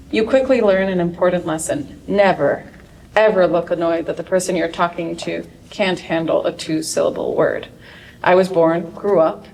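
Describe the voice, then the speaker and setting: Woman reading fiction aloud, wry and sharp. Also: medium pitch. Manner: dry, wry delivery with sudden sharp outbursts. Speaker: translator reading her work. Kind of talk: expressive reading of translated fiction. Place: event stage before an audience. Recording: distant mic in a large room, faint noise.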